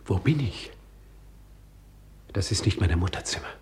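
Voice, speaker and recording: An actor playing a bitter, resolute, vengeful prince in a radio tragedy, declaiming his monologue deliberately. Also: low-pitched, animated, darkish tone, echoey room, a little hiss or hum. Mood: vengeful, brooding. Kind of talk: classical stage tragedy radio acting.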